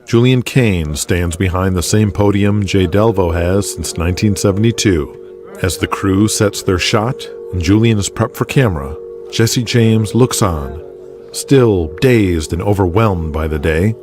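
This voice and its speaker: Casting: male narrator for an audio drama, deep voice, dramatic scripted delivery over music. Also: unhurried. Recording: music under the voice.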